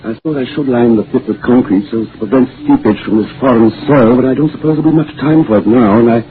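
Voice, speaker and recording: An actor playing a mild, henpecked middle-aged professor in a vintage radio drama, hesitant and apologetic. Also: male voice, medium pitch, fast, natural voice. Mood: evasive, mild. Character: meek, absent-minded middle-aged professor, henpecked husband. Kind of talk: 1940s radio drama acting. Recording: noisy, phone-like old radio broadcast.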